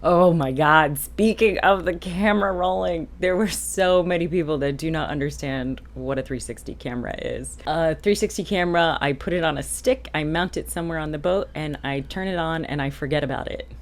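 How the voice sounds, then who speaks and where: A woman talking casually as a boat captain and vlog host, explaining things candidly with self-deprecating, animated asides. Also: low-pitched, moderate pace, few pauses.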